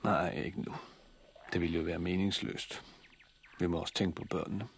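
An actor in a 1960s radio drama playing a brooding, stubborn husband and farm leader, terse and understated. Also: male voice, deep voice, fairly even intonation, a little hiss or hum.

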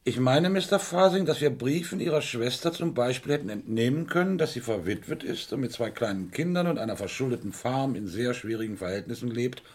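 An actor playing a methodical, sceptical police inspector in a German radio crime play, matter-of-fact and firm, with a slightly husky voice. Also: male voice, medium pitch, expressive, a little mellow. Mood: probing, firm. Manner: matter-of-fact, deliberate, probing.

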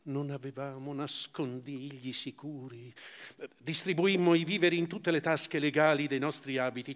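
A man giving a measured, expressive theatrical recitation of first-person literary prose, with deliberate pauses. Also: on the high side, in a large hall. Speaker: actor reading literary prose. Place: theatre or hall, live performance.

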